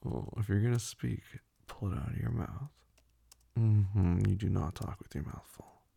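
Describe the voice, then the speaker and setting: A man playing a dominant, teasing lover in an intimate audio roleplay, murmuring in a breathy, husky voice that often drops to a whisper. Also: lowish voice, no echo, full character voice. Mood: firm, controlled. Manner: slow, murmured, commanding, with soft hums.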